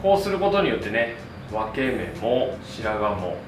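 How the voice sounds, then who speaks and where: A man's voice: mid-range voice, sing-song, moderate pace. A man in his thirties, a hairdresser giving an upbeat, friendly, step-by-step tutorial to camera. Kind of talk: instructional hair tutorial to camera. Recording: roomy echo, distant mic, background music. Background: music.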